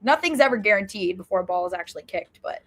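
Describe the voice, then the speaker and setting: A woman's voice: medium pitch, expressive, fast, a bit bright. A woman co-hosting a podcast, talking through sports analysis casually in a thoughtful way, often correcting herself mid-sentence. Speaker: podcast co-host.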